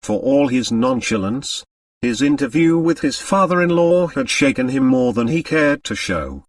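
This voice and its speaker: A man's voice: medium pitch, animated, moderate pace, recorded from a distance. A man narrating fiction as a scripted audiobook, reading expressively.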